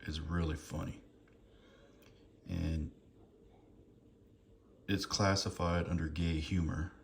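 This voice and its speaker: Guy in his 50s, real low gravelly voice, just rambling to viewers kinda amused and sorry about it, bit of background noise. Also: level tone, slow. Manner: amused, chuckling, hesitant and apologetic. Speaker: vlogger showing books from a collection. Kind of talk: casual rambling chat to viewers.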